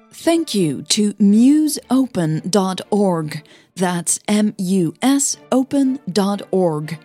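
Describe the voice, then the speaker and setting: A woman who narrates and hosts a fiction podcast, telling the story in a measured, dramatic way with deliberate pauses. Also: medium pitch, mellow. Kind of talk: scripted audio fiction narration.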